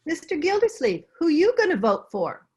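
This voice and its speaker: Woman as a folksy household cook, lively higher voice. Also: normal speed. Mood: disapproving. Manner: folksy, colloquial, plain-spoken. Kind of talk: old-time radio comedy acting.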